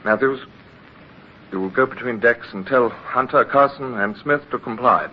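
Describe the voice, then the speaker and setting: An actor playing an earnest, excitable young British naval midshipman in an old radio drama, sometimes hesitant, with a British accent. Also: mid-range voice, moderate pace, natural voice. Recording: dull, noisy old radio recording.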